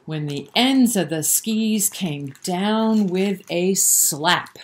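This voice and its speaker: A woman reading a picture book aloud to children in an expressive way, pausing to explain and prompt her young listeners. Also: on the low side, slight background noise. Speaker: children's storyteller at a library.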